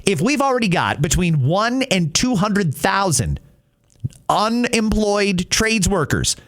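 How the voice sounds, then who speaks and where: High-pitched, animated Canadian male podcast host. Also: takes it slowly. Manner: emphatic, outspoken. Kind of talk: opinionated commentary and reading listener messages.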